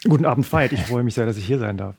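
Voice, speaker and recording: A man, bilingual with Italian as his first language, answering questions about his debut novel in a measured way, pausing often with fillers. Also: medium pitch, creaky, darkish tone. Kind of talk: thoughtful interview answers.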